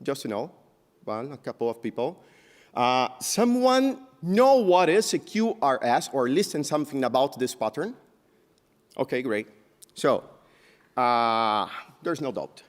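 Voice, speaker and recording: Brazilian man talking in an echoey hall. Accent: Brazilian Portuguese. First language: Portuguese. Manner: halting, many filler sounds, lively pitch jumps. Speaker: conference speaker. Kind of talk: technical conference talk. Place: large conference hall stage.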